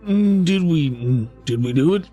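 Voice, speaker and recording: A man voicing a big, dazed fellow in a tabletop game, mumbling incoherently with halting, slurred speech. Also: a little high, lively intonation, dark tone, faint music behind, pitched above the performer's own voice. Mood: dazed, groggy.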